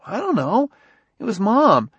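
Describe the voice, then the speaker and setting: A man voicing an eager, whiny young boy who pleads in squeaky, childlike slang in an audiobook. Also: wildly swooping pitch, a little slow, a bit bright, breathy edge, no echo, dull audio, exaggerated voice. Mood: anxious, breathless.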